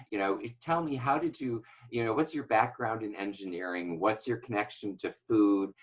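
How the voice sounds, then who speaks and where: A man's voice: fairly low pitch, a bit bright, poor audio. A man hosting an interview over a video call, asking long, rambling questions full of fillers. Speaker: interview host. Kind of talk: conversational interview.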